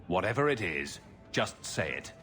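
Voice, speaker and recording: A man playing a blunt, steady adventuring champion in a video game cutscene, speaking directly. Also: sits low, normal speed, soft background music, full character voice.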